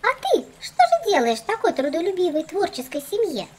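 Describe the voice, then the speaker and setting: A woman's voice: extremely high pitch, wildly swooping pitch, a little fast, a little mellow, exaggerated voice. A woman voicing a fussy, boastful little doll girl in a children's cartoon, theatrical and condescending. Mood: smug teasing.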